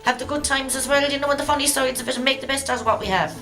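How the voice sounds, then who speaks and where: A woman's voice: sits high, fairly even intonation, racing, a bit bright. An Irish woman chatting casually in an interview. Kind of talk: casual chat. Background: music.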